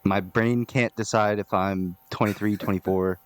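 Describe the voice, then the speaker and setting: A man's voice: fairly low pitch, fairly even intonation, normal speed, no echo. A man in his twenties co-hosting a podcast, chatting in a laid-back way. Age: 20s. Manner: laid-back, laughs often. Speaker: podcast co-host. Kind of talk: casual podcast chat.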